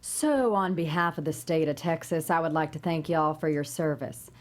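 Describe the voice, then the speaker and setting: A woman reads the part of a female judge at a table read. She addresses jurors formally and courteously in a slightly low voice. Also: moderate pace, natural voice.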